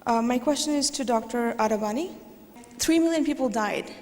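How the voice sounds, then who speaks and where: A woman from Bangladesh putting a pointed question from the audience. Her voice is high and firm, and she insists on her point. The long reverb of a large hall surrounds her. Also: brisk, a little mellow.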